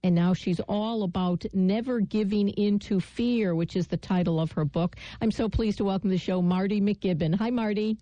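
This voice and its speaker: A woman hosting a radio interview, measured and polite as she introduces and questions her guest. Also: mid-range voice, dark tone, dry recording, lo-fi sound. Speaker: radio show host.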